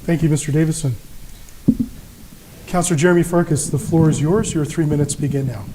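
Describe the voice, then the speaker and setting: A man's voice: a little high, a little fast, husky. A male moderator making brief, formal announcements that introduce each speaker, heard from a distant mic in a noisy recording. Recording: distant mic with loud noise.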